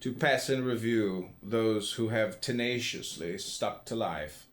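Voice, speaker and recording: Man deliberately reading philosophy aloud. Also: fairly low pitch. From United States. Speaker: reader, podcast host.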